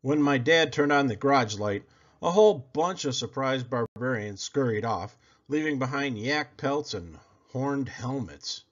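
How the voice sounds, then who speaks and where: A man reading a children's story aloud in a theatrical, expressive way, stretching out words for effect. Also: mid-range voice, a little slow. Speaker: storybook reader. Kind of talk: animated children's read-aloud. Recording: slightly dull, lo-fi audio.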